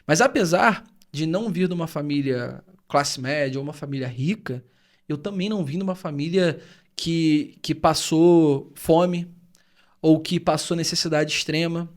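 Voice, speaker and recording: Earnest high-voiced man. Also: normal speed. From Rio de Janeiro state, Brazil. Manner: earnest, thoughtful, explains at length. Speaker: podcast co-host. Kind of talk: reflective podcast conversation.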